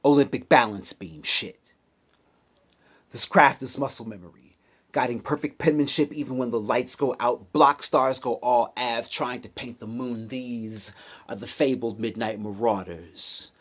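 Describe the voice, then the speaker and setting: A male spoken word poet with a mid-range voice recites his verses in a hip-hop cadence. His delivery is rhythmic and emphatic, set off by deliberate pauses between short phrases. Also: medium pitch. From United States city.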